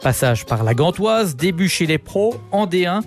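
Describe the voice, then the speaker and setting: A man narrating a scripted sports news report in a lively, playful way. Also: high-pitched, moderate pace, faint music behind.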